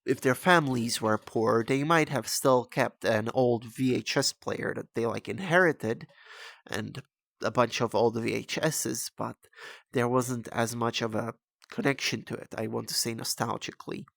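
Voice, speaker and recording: Man, twenties, native Czech speaker. Unhurried, gravelly delivery; casual, halting video-essay rambling by the channel's host. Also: mid-range voice, a bit bright, no echo. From Czech Republic. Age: 20s.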